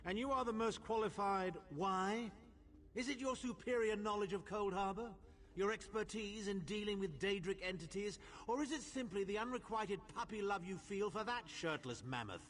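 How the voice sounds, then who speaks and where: An actor playing a haughty, scheming older battlemage in a fantasy video game, pompous, sarcastic and theatrical. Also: male voice, sits high, normal speed, fairly bright tone. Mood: mocking.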